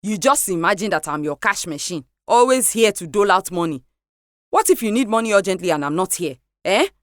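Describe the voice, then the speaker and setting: A woman's voice: medium pitch, expressive, fairly quick, no room sound, studio quality, close to an everyday voice. A woman putting on a stern scolding mother. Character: strict thrifty Nigerian mother scolding her children.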